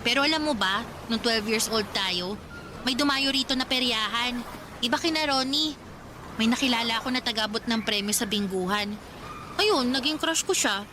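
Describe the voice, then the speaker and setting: Woman acting high-voiced, chatty, wistful teenage girl cousin. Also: moderate pace, noisy background, natural voice. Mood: confiding, fond. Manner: casual, confiding, animated. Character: chatty, teasing, wistful older girl cousin in her teens. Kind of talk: Filipino radio drama acting.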